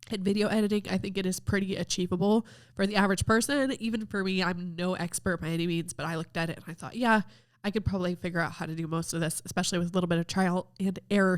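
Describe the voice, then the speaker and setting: Woman, podcast host, casual talk, stuffed up from a cold, smooth clear voice, mid pitch. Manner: chatty, upbeat, lively recommending tone. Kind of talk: casual video review talk.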